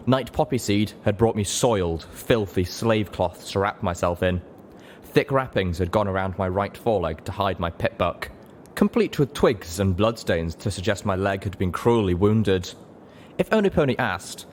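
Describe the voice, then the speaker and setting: Male storyteller, low register, measured dramatic narration, some background noise on a home recording. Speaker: narrator, reader of a fan fiction audiobook.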